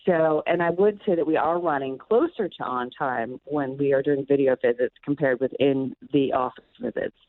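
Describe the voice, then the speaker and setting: A woman's voice: extremely low pitch, moderate pace, hardly any pauses, no room sound. A thin, phone-like line carries a woman's voice with hardly any room sound. She is a physician and medical director answering interview questions in an explanatory way.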